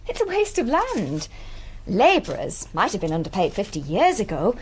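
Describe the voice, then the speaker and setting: An actress playing a brisk, bossy, strong-willed English farmer's wife with articulate speech in a period radio drama. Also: female voice, medium pitch, wildly swooping pitch, darkish tone, a little hiss or hum. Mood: brisk, argumentative.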